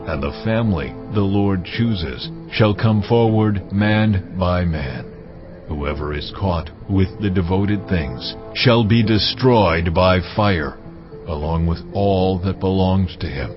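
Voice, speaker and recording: An actor playing the solemn, commanding voice of God in stately, emphatic pronouncements for a dramatized audio Bible. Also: male voice, fairly low pitch. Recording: slightly muffled, music behind the voice. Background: dramatic music.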